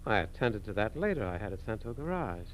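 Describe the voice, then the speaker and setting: An actor playing a smooth-talking car thief and devoted husband in a 1940s radio drama. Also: male voice, on the low side, big pitch swings, fast talker. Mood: evasive, pleading. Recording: noisy, crackly 1940s radio recording.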